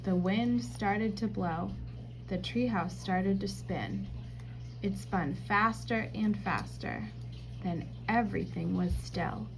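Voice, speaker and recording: A woman reads a children's story aloud at a slow, careful pace. Her delivery is expressive and enunciated. Some noise sits behind her medium-pitched voice. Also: gravelly. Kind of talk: scripted storybook narration for children.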